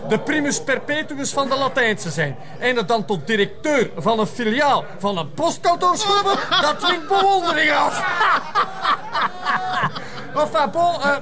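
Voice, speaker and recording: An actor playing a smug middle-aged lawyer, pompous and jeering, with a Flemish accent in a drama. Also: male voice, very high voice.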